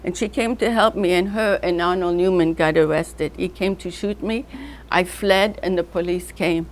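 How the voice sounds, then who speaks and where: A woman's voice: lowish voice, moderate pace, slight background noise. An older woman artist, born in North Africa, reminiscing in a casual interview, drifting loosely from one name and memory to the next and often laughing through her stories. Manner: rambling, loose storytelling that drifts between names and memories.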